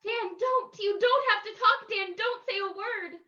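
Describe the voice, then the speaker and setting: A woman plays a polite, anxious young heroine in an old radio mystery. Her voice is extremely high and bright. It sounds distant from the microphone. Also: animated, a little slow, dull audio, full character voice. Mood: anxious, pleading. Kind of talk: old-time radio play reading.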